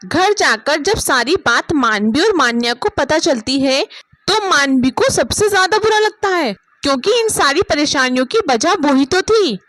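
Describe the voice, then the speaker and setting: A woman's voice: high voice, lively intonation, moderate pace, fairly bright tone, no room sound, poor audio. A woman reading scripted narration for a story as its narrator.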